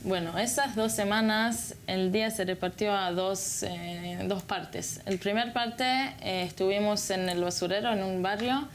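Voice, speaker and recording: A young woman from Israel gives interview answers in a language that is not her first. She hesitates and searches for words. Her pitch is average for a woman, with slight background noise. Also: fairly even intonation, unhurried, hardly any pauses. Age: young adult. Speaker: guest, former volunteer.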